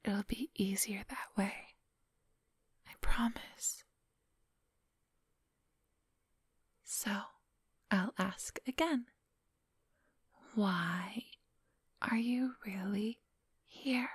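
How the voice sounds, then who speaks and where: Casting: woman to play a controlling vampire seductress for ASMR audio drama, unhurried, heavily whispered, coaxing yet commanding. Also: mid-range voice, no room sound, studio quality, heavily acted. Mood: mocking, coaxing. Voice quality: breathy whisper. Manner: slow, condescending, coaxing, commanding. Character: menacing female vampire, coolly hypnotic and controlling. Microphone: close, dry microphone.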